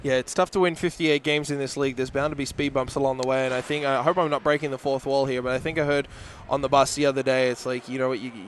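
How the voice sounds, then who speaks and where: A man's voice: mid-range voice, steady tone, fast talker, few pauses, a little hiss or hum. A man in his thirties, a team broadcaster interviewing a coach after a game at an indoor rink on a headset mic. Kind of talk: postgame interview.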